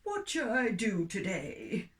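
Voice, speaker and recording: A lazy, mischievous he-dog from a children's storybook, acted out by a woman. He is sleepy and playful, with drawn-out, yawning speech at an unhurried pace. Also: medium pitch, huge pitch swings, distant mic. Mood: musing.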